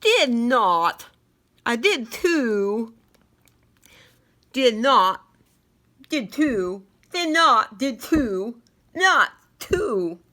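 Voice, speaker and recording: A woman voicing a proud, excitable, squabbling male chameleon in a children's storybook, with exaggerated, drawn-out delivery. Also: fairly high pitch, pitched above the performer's own voice, full character voice. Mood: indignant.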